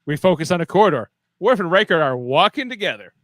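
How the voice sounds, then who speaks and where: A man's voice: on the high side, big pitch swings, fast, a bit bright, dry recording. A man narrating stage directions in a playful, dramatic way for a live fan script reading.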